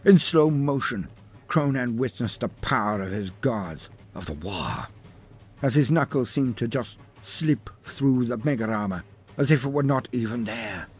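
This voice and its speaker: A man's voice: medium pitch, expressive, measured pace, slightly rough. A man narrating a scripted fantasy tale in a theatrical, florid and wry manner. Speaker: storyteller narrator.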